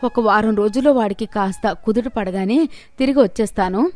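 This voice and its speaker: A woman's voice: medium pitch, brisk, a little mellow, natural voice. A woman voicing a poor, caring, outspoken village vegetable seller in a storybook tale, plaintive and homely. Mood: worried. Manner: plaintive, emphatic, homely scolding.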